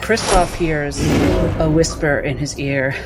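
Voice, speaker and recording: A woman's voice: low voice, takes it slowly, over loud music. A woman playing a tabletop role-playing game, narrating what her character does.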